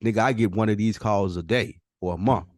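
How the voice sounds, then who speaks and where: A man's voice: lowish voice, fairly quick, a little mellow, dry recording, clean studio sound. A man co-hosting a podcast, chatting casually in a reflective, slangy and profane way. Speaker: podcast co-host. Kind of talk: casual chat.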